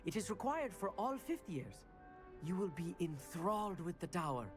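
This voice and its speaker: A man's voice: sits high, sing-song, normal speed, over music. A man playing an eager, bookish teenage boy at a wizard school, earnest and polite in a game. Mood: eager, friendly. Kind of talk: scripted game dialogue.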